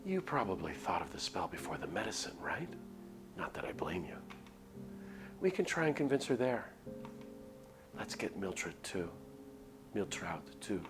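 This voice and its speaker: A man voicing a sly, sharp, scheming young village woman in a visual novel, with music behind. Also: low voice, very animated, a little slow, long pauses. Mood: conspiratorial. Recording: music under the voice.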